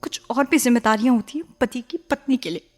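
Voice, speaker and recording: An actress playing a hurt, insistent young wife in an intense, emotionally raw radio drama. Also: female voice, fairly high pitch, fast talker, soft background music, natural voice. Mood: demanding, hurt. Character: hurt, insistent young wife, once widowed, pleading for love. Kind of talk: Hindi radio drama acting.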